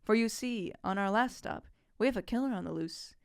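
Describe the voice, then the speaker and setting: A woman's voice: medium pitch, brisk, vocal fry, smooth, no room sound, studio quality, close to an everyday voice. A woman playing a darkly playful host, giving scripted, mock-ominous introductions to audio dramas. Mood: teasing, ominous.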